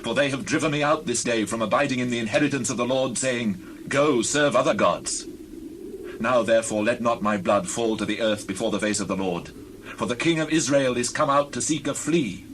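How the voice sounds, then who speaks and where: An actor playing an earnest, pious fugitive warrior in a dramatized scripture, speaking in solemn, formal, declamatory archaic phrases. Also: male voice, medium pitch, brisk, fairly bright tone, slight background noise, close to an everyday voice, rough recording. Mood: pleading, humble. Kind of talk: dramatized scripture audio acting.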